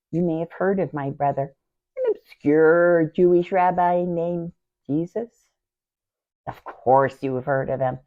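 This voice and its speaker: A woman playing a devoted, grieving youngest sister in a theatrical, deliberate Bible monologue that moves between hushed tones and outcries. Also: fairly low pitch, lightly acted. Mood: fond, wistful. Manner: theatrical, deliberate, swinging from hushed near-whispers to loud outcries. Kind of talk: dramatic first-person Bible monologue. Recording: dull, lo-fi sound.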